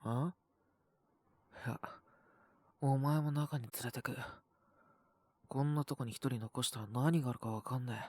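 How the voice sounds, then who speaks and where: A man's voice: medium pitch, fairly even intonation, measured pace, pauses often, a little mellow, no echo, close to an everyday voice. A man voicing a sullen, possessive young wolf beastman with a cold, speaking in blunt, curt grumbles in an audio roleplay. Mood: curt, reluctant. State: sick with a cough, acted. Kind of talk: Japanese audio roleplay voice acting.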